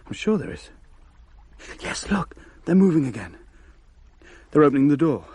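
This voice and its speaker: A man's voice: fairly high pitch, big pitch swings, moderate pace, darkish tone, breathy edge. An actor playing a shaken man who recounts a horrifying haunting in a dramatic radio play. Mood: anxious, hushed. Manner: hesitant, haunted, dramatic storytelling. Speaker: narrator and character in radio play.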